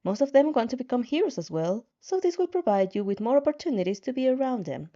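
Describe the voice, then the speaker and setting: A woman voicing a calm, controlling game-like system guide in a fan fiction reading, patient and reassuring with a menacing edge. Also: on the high side, quick pace, dull audio. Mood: patient, persuasive.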